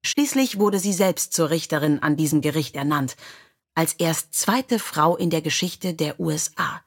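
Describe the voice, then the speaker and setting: A woman's voice: deep voice, animated, moderate pace, husky. A woman reading scripted biographical stories as an audiobook narrator.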